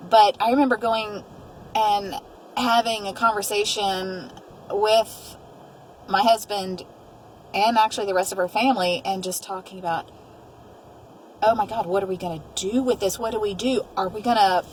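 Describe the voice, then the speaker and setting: A woman's voice: mid-range voice, fairly even intonation, normal speed, poor audio. Middle-aged woman interviewer, some background noise. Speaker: host, mother interviewing her daughter. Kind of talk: reflective conversational interview.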